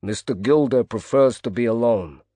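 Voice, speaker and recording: A burly male bodyguard growls out short lines in a thick Brooklyn accent, as a male narrator puts him on. He is gruff and curt, a wall of a man in an audiobook thriller, and the read sounds close and dry. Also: medium pitch, moderate pace, warm tone, no room sound, lightly acted.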